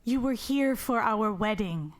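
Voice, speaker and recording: A woman's voice: a little high, lively intonation, unhurried. An actress playing a wounded, guarded woman with a terse, dry, sarcastic manner in a naturalistic audio drama. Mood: hurt, confrontational. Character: guarded, wounded, sardonic woman rebuilding her life. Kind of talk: naturalistic radio drama acting.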